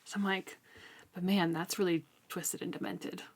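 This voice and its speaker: A woman co-hosting a book discussion podcast, chatting casually and colloquially with animated emphasis. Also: fairly low pitch, moderate pace. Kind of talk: casual chat about a novel.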